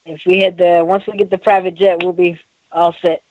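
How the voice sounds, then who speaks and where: Higher-pitched casual man, muffled sound. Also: level tone, moderate pace. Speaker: interview guest, rapper. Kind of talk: casual chat.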